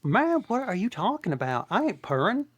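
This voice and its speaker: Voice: mid-range voice, sing-song, moderate pace, husky. A reader voicing a chatty, cocky talking donkey in a table read, with a sassy, defensive manner.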